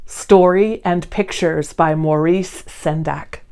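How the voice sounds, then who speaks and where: A woman hosting a children's read-along, telling a picture-book story in an expressive, theatrical way. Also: low-pitched, clean tone. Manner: slow, theatrical, expressive delivery.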